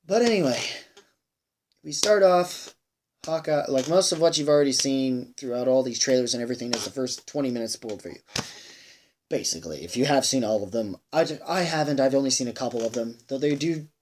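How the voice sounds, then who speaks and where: Male movie reviewer, mid-pitched, casual and unscripted, halting but emphatic, somewhat tired, slightly noisy recording. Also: darkish tone. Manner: rambling, halting, repeats phrases for emphasis; sweary, emphatic. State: tired, sighing.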